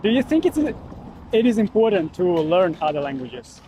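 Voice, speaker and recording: Guy asking people questions on the street, pretty high voice but mellow, faint noise around. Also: sing-song, normal speed. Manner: friendly, laughs often, loose "like" phrasing. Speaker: street interviewer. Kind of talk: casual street interview. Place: outdoors on a street.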